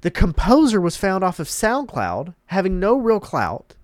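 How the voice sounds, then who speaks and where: A man's voice: high voice, huge pitch swings, measured pace, no room sound, clean studio sound. A man hosting a casual gaming commentary, congested and emphatic, repeating words for emphasis. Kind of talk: casual commentary reading articles.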